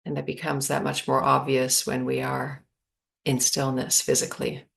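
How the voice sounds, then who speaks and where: A woman leading a guided meditation, speaking calmly in spacious phrases with long pauses. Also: extremely low pitch, creaky.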